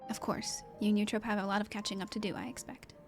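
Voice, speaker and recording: A woman voicing a courteous, steady ally in a video game, with composed and polite wording. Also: mid-range voice, fast talker, faint music behind, natural voice. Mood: welcoming. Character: courteous, steady gym-owning ally of the hero.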